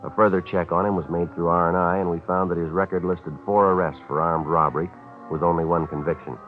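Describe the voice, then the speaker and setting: Actor as a low, clipped, deadpan police detective. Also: male voice, quick pace, soft background music, close to an everyday voice. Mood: matter-of-fact. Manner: clipped, deadpan, matter-of-fact. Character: terse, businesslike, no-nonsense male police detective. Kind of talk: 1940s radio acting with scripted narration. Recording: tinny old radio recording.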